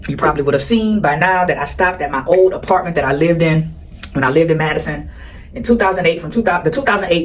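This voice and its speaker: A woman's voice: low voice, slight background noise, poor audio. A woman in her forties talking casually to her viewers in a vlog, chatty and direct, addressing them as y'all. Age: 40s. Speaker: vlogger. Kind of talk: casual vlog talk to camera.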